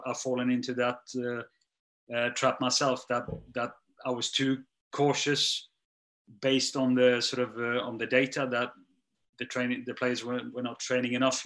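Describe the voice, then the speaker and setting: A man's voice: medium pitch, a bit bright. A middle-aged man who speaks English as a second language, answering interview questions with many restarts and fillers, his voice trailing off at the ends of sentences. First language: not English. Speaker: strength and conditioning coach.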